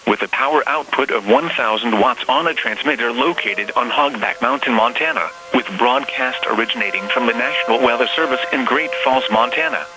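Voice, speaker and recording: A man's voice: mid-range voice, brisk, few pauses. A man reading formal, impersonal scripted announcements for an emergency radio broadcast. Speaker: weather radio and alert announcer. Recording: noisy radio broadcast with hum.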